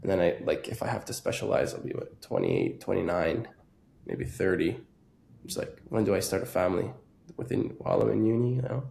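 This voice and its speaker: Young man in his twenties, dental student, earnest, hesitant, low mellow voice. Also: fairly quick, creaky, a little hiss or hum. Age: 20s. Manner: earnest, hesitant, many "like" fillers. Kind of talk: casual podcast conversation.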